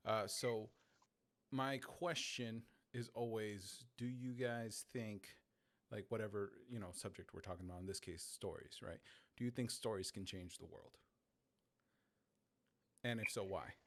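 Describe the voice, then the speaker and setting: A man's voice: on the low side, fairly even intonation, unhurried, fairly bright tone. A man hosting a podcast, asking questions in a loose, informal way and often checking in with the listener. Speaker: podcast host. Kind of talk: casual interview.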